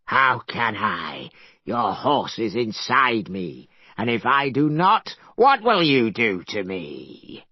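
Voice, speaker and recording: A sullen river dragon drawls low and slow with quiet menace, as a man reads him aloud. The voice is dry and a little dull. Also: big pitch swings, no echo, full character voice. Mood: menacing. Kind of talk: storybook reading.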